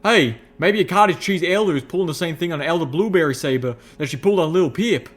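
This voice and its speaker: A man's voice: a little high, fast talker, voice pushed up, natural voice. A man voicing a folksy, blunt young pegasus with an in an audiobook story. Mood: speculative, teasing. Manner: drawling, folksy, incredulous.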